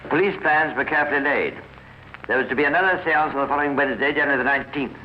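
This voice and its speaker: A man giving measured, wry scripted narration for a 1950s radio crime drama, muffled and hissy. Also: medium pitch.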